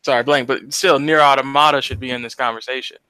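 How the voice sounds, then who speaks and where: A man's voice: medium pitch, fairly even intonation, fast talker, sharp tone, rough recording. A man co-hosting a casual podcast, arguing his point insistently. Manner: insistent, argumentative. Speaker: podcast co-host. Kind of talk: casual gaming debate podcast.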